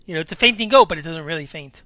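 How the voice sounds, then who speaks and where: A man in a casual podcast chat with a slightly raspy voice, talking loosely and jumping between topics. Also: fairly high pitch, animated, racing, bright, forceful tone, no room sound. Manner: chatty, jumps between topics. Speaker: podcast co-host. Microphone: home microphone.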